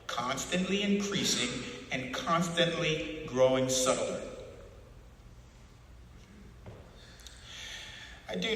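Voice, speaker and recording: In a cavernous, reverberant space, a cold zealot of an interrogator acted by a man declaims a dystopian creed. The voice is hard-edged and forceful, slow and schoolmasterly, every phrase emphatic. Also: fairly high pitch, heavily acted. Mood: quiet, menacing. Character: cold, zealous male interrogator preaching absolute power. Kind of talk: dramatic reading of a dystopian novel. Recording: very echoey hall, long reverb.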